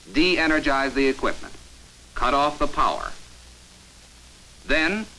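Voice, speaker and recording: An American man delivering scripted instructional narration for a 1950s training film in an authoritative, measured, emphatic announcer manner, on an old film soundtrack. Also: medium pitch, bright, forceful tone, a little hiss or hum, rough recording.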